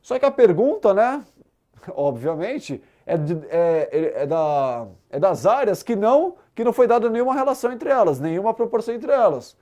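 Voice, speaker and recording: Brazilian male math teacher, lively intonation. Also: high voice, moderate pace. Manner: didactic, checks understanding with short tag questions. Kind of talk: explanatory exam-prep lesson.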